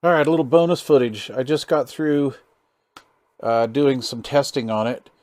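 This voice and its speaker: A man giving a casual hands-on demonstration as a hobbyist, talking in a halting, easygoing, folksy way and sometimes muttering to himself. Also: mid-range voice, darkish tone, no echo. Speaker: hobbyist presenter demonstrating radio gear.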